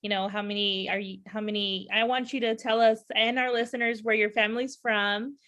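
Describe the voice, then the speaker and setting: A woman's voice: medium pitch, fairly even intonation, a little fast, fairly bright tone. A woman from California hosting a podcast interview, chatting casually with lots of fillers and frequent laughter. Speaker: podcast host.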